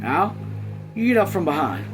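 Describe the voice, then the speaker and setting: A man's voice: high voice, big pitch swings, moderate pace, over music. A man voicing a firm, protective young farmer in a novel, with plain, insistent folksy speech. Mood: commanding.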